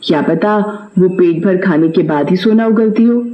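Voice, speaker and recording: Man voicing a high-pitched nagging merchant's wife. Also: moderate pace, muffled recording, natural voice. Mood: reasoning. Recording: roomy echo on the voice.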